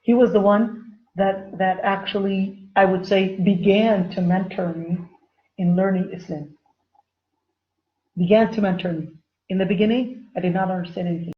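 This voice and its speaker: A woman's voice: mid-range voice, steady tone, moderate pace, dull audio. A woman teaching an informal online Islamic studies lesson, chatty and repeating her phrases for emphasis while addressing the viewers directly.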